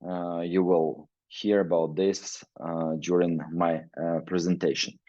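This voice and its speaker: A man from Ukraine giving a webinar talk in halting English, with frequent fillers. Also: low-pitched, level tone, warm tone, dry recording, highs cut off. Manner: halting non-native English, many fillers. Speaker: guest presenter, weightlifting researcher. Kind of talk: webinar presentation. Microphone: video call.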